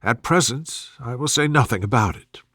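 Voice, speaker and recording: A man's voice: medium pitch, lively intonation, fairly bright tone, slightly rough, no room sound, clean studio sound. Man reading as a composed, wry young woman. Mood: resolute, wry. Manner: measured, reasoning.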